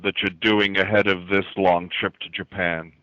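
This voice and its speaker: A man's voice: low voice, little pitch movement, normal speed, sharp tone, dry recording. A man asking conversational questions as a sports reporter on a press conference call. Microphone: telephone. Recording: dull band-limited phone call.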